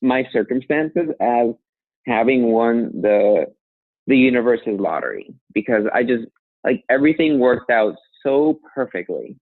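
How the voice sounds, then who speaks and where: A man in his thirties from Colombia, a guest talking casually about his work, relaxed and laughing lightly, often restarting phrases. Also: medium pitch, unhurried, no room sound. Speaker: guest, software engineer. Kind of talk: casual conversational interview. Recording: tinny phone-like sound, dry.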